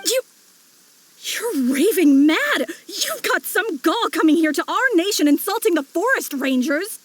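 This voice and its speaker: A woman's voice: extremely high pitch, sing-song, measured pace, exaggerated voice. A woman voicing a brusque, no-nonsense forest ranger in a video game, blunt and challenging. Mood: indignant. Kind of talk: dramatic video game voice acting.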